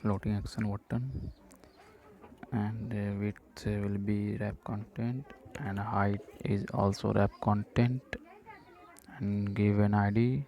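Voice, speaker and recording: A man slowly talking through app-building steps in a coding tutorial, dictating each step with short pauses and fillers. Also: fairly low pitch, low-quality recording. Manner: slow, flat dictation of code steps with "uh" fillers. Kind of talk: screen-recorded coding walkthrough.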